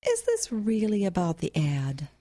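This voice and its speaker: A woman playing a playful, enthusiastic mother in an audio comedy, coaxing and theatrical, with a husky voice. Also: mid-range voice, huge pitch swings, unhurried, dark tone. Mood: defensive, coaxing. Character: playful, enthusiastic mother with big schemes, grown daughter. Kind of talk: audio comedy drama acting.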